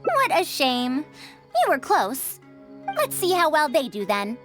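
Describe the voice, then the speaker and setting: A scholarly game mentor with a high, very lively voice. She explains things precisely and formally, self-assured and encouraging, with music behind her. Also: takes it slowly, heavily acted. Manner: instructive, precise, formal wording. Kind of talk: scripted video game dialogue.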